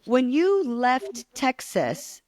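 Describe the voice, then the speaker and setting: A woman's voice: high-pitched, very animated, very slow, darkish tone. A woman interviewing a guest with brief, direct questions.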